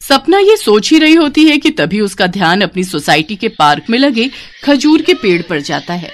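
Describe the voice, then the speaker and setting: A woman's voice: mid-range voice, big pitch swings, moderate pace. A woman narrating a scripted family moral story as a storyteller.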